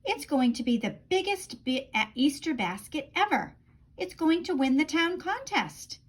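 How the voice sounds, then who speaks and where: A woman's voice: sits high, sing-song, measured pace, pitched above the performer's own voice. A woman voicing an eager, earnest young boy mouse from a children's storybook. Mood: eager, proud.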